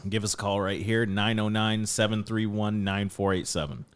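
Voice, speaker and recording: A man hosting a podcast in casual chat, speaking haltingly and often repeating himself. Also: fairly low pitch, steady tone, smooth. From Puerto Rican background. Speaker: podcast host.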